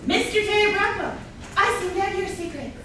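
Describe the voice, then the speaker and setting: Woman acting high-voiced scorned ex-wife, gloating. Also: brisk, pushed and bright. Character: scorned ex-wife posing as a doctor, gloating and indignant. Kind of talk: comedy staged reading. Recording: distant mic, echoey room, faint noise.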